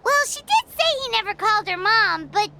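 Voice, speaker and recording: A woman's voice: very high voice, big pitch swings, normal speed, sharp tone, a little hiss or hum, heavily acted. A woman voicing a small, childlike, inquisitive fairy companion in a video game, reasoning aloud. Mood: curious, puzzled.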